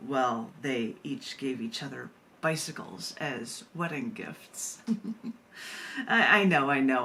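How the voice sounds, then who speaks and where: A woman poet reading at a live open mic, animated and self-amused, with rambling, chatty asides. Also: low voice, a little hiss or hum.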